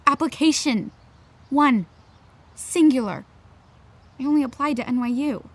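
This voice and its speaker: A woman's voice: sits high, unhurried. An actress playing an anxious, defensive teenage girl in a naturalistic audio drama, with a sarcastic, exasperated edge. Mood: defensive, ashamed.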